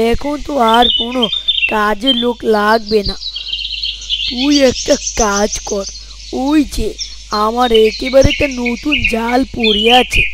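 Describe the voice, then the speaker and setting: A woman playing an unhurried village landlord who hands out advice in a moral story drama. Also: on the high side. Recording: very noisy recording with birdsong.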